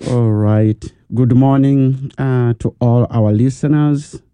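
Slow, mellow Ugandan priest. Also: male voice, mid-range voice, fairly even intonation, clear, resonant tone, dry recording. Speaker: pastor, guest. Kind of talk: radio interview. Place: radio studio.

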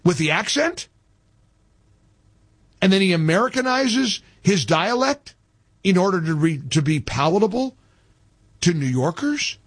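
Husky, animated talk radio host. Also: male voice, sits high, measured pace, long pauses, no room sound. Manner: combative, repeats himself for emphasis.

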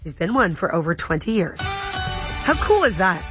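A raspy woman hosts a radio show in a chatty, upbeat way. Her voice sits a little low, and the broadcast sound is dull, with faint music behind. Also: very animated, a little slow, a bit bright. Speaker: radio host.

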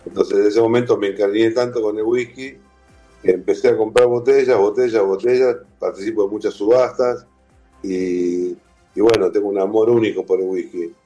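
Interview guest: Argentine man, low mellow voice, chatty and relaxed. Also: normal speed, lo-fi sound, faint music behind. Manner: warm, chatty, explains with lists and repetition. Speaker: guest, whisky museum owner. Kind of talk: casual interview talk.

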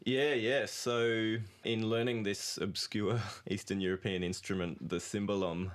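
A man's voice: on the low side, steady tone, a little slow. A man chatting casually as a musician being interviewed, in a jokey way with a ready laugh. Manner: jokey, laughs often while talking. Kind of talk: casual chat.

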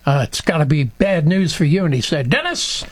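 A high-pitched man co-hosts a talk radio show. He tells stories and drops in dry, sarcastic remarks. He keeps a conversational, chatty tone throughout. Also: very animated, moderate pace. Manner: storytelling, sarcastic asides. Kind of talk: casual political radio talk.

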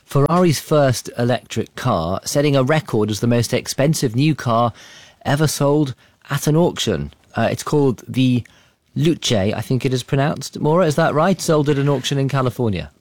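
A man's voice: medium pitch, lively intonation, a little mellow, no room sound. Male news anchor, dry studio sound. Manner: brisk handovers, informal asides.

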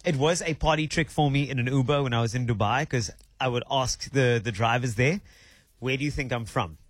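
A man's voice: medium pitch, fairly quick, dry recording. South African male radio host, chatty storyteller, close dry studio mic. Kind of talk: casual radio chat. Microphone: studio microphone.

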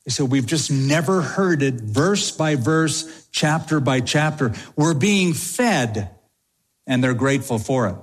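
A man's voice: mid-range voice, lively intonation, a little slow, long reverb tail. A man preaching an expository sermon from the pulpit of a church, driving points home with repeated phrases. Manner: emphatic, repeats key phrases. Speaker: pastor. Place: church sanctuary.

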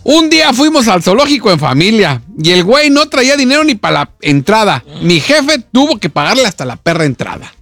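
A man's voice: high-pitched, big pitch swings, a little fast, hardly any pauses, a bit bright, no room sound. A man with a Mexican accent, talking in slangy, streetwise banter as a radio co-host. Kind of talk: casual banter.

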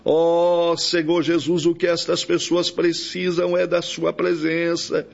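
Brazilian preacher praying in creaky, even tones. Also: male voice, on the high side, slow pace. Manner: pleading, with frequent invocations. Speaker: preacher leading a prayer. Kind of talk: extemporaneous evangelical prayer. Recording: dull, lo-fi audio.

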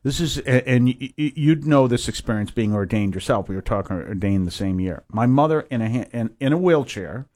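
A man in his fifties from Massachusetts, a priest, giving a rambling conversational interview with many pauses and restarts. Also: mid-range voice, animated, a little mellow, no echo, clean studio sound.

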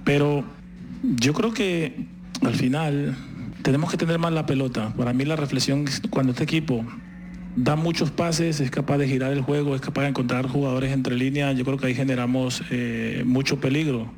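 A man, a football coach, calmly analysing his team's play. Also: medium pitch, moderate pace, faint music behind. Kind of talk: post-match reflection.

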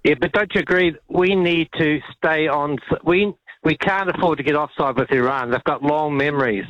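Higher-pitched male caller, muffled phone sound. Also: moderate pace, no room sound. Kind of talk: casual opinion-giving. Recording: dull lo-fi phone call.

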